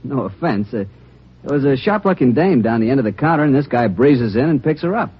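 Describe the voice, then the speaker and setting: A nervous counterman at an all-night donut shop who rambles on, says sorry and repeats himself. The husky voice swings lively in pitch. It comes through a dull, muffled 1950s radio broadcast. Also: fairly quick. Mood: chatty. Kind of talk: 1950s radio drama acting.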